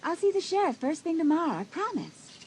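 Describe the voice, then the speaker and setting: A woman's voice: extremely high pitch, big pitch swings, a little fast, darkish tone, a little hiss or hum, heavily acted. A woman voicing a carefree, secretive teenage girl who brushes off worries lightly in an audio drama. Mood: breezy. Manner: light, dismissive, teasing.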